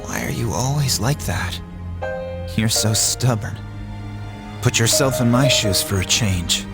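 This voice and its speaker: A man's voice: medium pitch, expressive, unhurried. A man playing a tough, brooding young fighter wracked with guilt in a video game, speaking in a blunt, earnest way. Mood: bitter regret. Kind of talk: dramatic video game voice acting. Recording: loud music behind the voice. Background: music.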